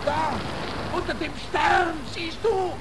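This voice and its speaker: A very high-pitched shipwrecked sailor, clinging to life. He repeats himself and pleads in slow bursts, in the manner of 1940s radio acting. The old recording is clearly noisy. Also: steady tone. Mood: delirious excitement. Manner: halting, repeating words, pleading.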